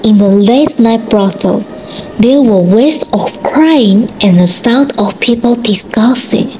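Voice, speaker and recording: Higher-pitched woman narrating a radio drama. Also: expressive, takes it slowly, mellow, a little hiss or hum, poor audio. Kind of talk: scripted narration.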